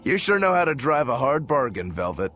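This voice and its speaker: A man's voice: medium pitch, sing-song, fairly quick, a bit bright, a little hiss or hum. A man playing an easygoing, wry young male demon swordsman in a video game, casual and curious. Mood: wry, amused. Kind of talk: video game cutscene acting.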